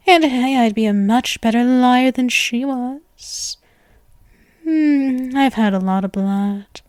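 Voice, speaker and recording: A woman's voice: sits high, a little mellow, dry recording, clean studio sound. A woman voicing an obsessive, doting, gleefully morbid young vampire in an intimate, theatrical ASMR roleplay monologue. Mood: wistful, devoted, then musing, picky. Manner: gushing, theatrical, breathy asides, lovestruck.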